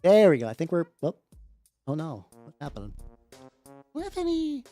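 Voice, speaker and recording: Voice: mid-range voice, huge pitch swings, normal speed, warm tone. A livestream host chatting casually in a rambling, slangy, sweary way that often repeats phrases. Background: background music.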